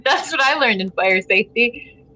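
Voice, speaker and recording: A woman's voice: on the high side, lively intonation, normal speed, bright, forceful tone, rough recording. A woman chatting casually as a game player, questioning things and voicing skepticism. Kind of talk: casual chat.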